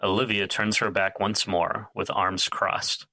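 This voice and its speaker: Very sharp, deep-voiced male narrator. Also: level tone, measured pace. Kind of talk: scripted stage-direction narration.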